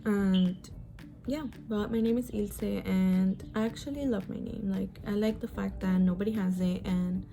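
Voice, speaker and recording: Twenty-something woman, mellow voice, casual chatty makeup vlog, swears a lot, faint music behind. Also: mid-range voice, steady tone, measured pace, gravelly. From Mexico, raised in the US. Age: 20s. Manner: chatty, frank, swears freely, sighs often. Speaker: beauty vlogger. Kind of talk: casual get-ready-with-me chat.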